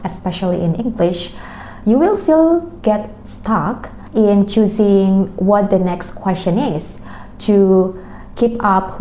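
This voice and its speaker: Slow-speaking Indonesian woman tutor, echoey room. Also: medium pitch, dark tone, a little hiss or hum. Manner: slow, careful, explaining step by step. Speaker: language tutor. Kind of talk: talking-head lesson with tips.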